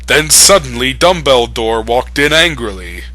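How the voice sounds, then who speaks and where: A man's voice: medium pitch, expressive, measured pace, sharp tone, dry recording. A man reading fan fiction aloud for comedy, breaking in with mocking, amused asides. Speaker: reader.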